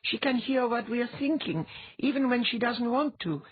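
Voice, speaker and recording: A husky-voiced audiobook reader voicing an eager, curious boy with a blunt, matter-of-fact way of talking. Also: medium pitch, lively intonation, normal speed, no room sound, narrow, tinny audio, pitched above the performer's own voice. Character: eager, curious boy who reads minds.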